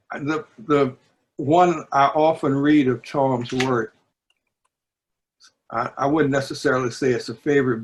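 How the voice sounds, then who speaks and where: Slow, husky New man. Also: medium pitch. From New Orleans, United States. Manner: deliberate, pausing, measured. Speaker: book editor and poetry reader. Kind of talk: reflective discussion and poetry reading.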